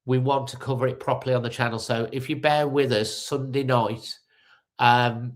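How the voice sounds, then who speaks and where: A man's voice: mid-range voice, steady tone, moderate pace. Bunged-up man rambling on a livestream. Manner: rambling, many ums and restarts. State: stuffy nose from a cold. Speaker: fan channel host. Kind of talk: casual live-stream update.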